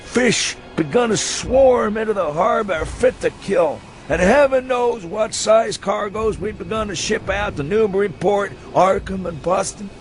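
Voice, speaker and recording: Crazed old drunkard, high voice, rural New England accent, sly, rustic, goading, radio storybook, music underneath. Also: male voice, unhurried, few pauses. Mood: conspiratorial, rambling. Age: elderly. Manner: rustic dialect, goading questions ending in "huh?". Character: hysterical drunken old man, cackling, sly, rustic New England sailor-town local. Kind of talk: dramatised audiobook reading for radio.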